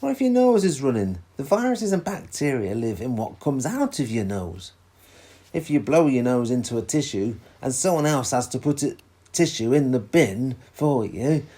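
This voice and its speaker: A man playing a patient, reassuring father who explains things in a children's storybook, with a husky voice. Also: medium pitch, warm tone. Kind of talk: read-aloud children's storybook dialogue.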